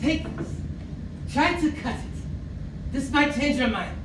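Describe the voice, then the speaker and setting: Actress as slow, high-pitched, obsessed professor. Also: female voice. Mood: insistent. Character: obsessed, imperious archaeology professor with a grudge. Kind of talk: theatrical stage acting of a radio play. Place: small theatre stage. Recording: echoey theatre, distant mic, noisy background.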